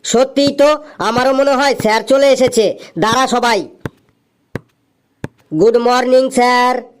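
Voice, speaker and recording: An excitable village schoolchild in a comedy cartoon, played by a woman. The voice is high, with earnest, exaggerated classroom delivery. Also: a little slow, slight background noise, natural voice. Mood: worried, bickering. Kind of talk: Bengali comedy cartoon dialogue.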